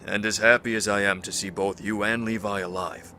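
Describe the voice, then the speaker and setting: A man playing a calm, earnest doctor and steadfast old friend in an audio drama, with a measured, consoling manner. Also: fairly low pitch, a little hiss or hum. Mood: concerned, gentle.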